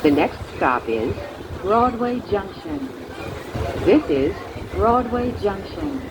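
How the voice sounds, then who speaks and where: A woman giving measured, pre-recorded subway announcements over a train's speakers. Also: sing-song. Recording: train announcements with loud ride noise. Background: train rumble and ambience.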